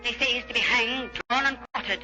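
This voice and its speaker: An actor playing an urgent, dramatic herald with dire news in a 1940s radio drama. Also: male voice, extremely high pitch, fairly even intonation, a little fast. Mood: urgent, dismayed. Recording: dull old radio recording with music.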